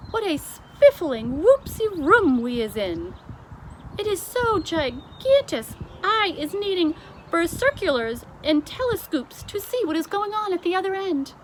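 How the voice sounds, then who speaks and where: A woman voicing a friendly, excitable giant from a children's storybook, exclaiming in muddled grammar and made-up words. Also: extremely high pitch, measured pace, slight background noise, pitched above the performer's own voice, exaggerated voice, low-quality recording. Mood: excited, amazed.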